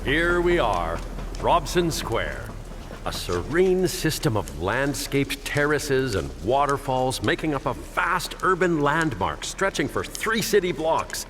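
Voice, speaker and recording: Animated, earnest veteran tour guide. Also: male voice, mid-range voice, slow, a little hiss or hum. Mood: upbeat, informative. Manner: scripted tour-guide patter, enthusiastic. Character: longtime male bus tour guide, earnest, jokey, proudly informative. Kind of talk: audio drama acting.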